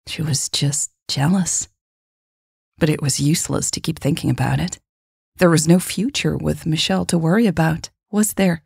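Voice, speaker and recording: A woman narrates a scripted story in a low voice. It is husky and gravelly, and the sound is close and dry, as befits a hosted fiction podcast. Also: normal speed, darkish tone, dry recording. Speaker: podcast host and author narrating her story.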